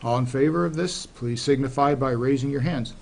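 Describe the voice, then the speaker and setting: A man chairing a town board meeting, calling votes and introducing a guest in a relaxed, procedural way. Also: medium pitch, fairly quick, creaky.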